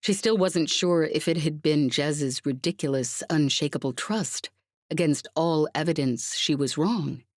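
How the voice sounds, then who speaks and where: Low, breathy woman narrating fiction. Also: a little slow, darkish tone, no echo, studio quality. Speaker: audiobook narrator.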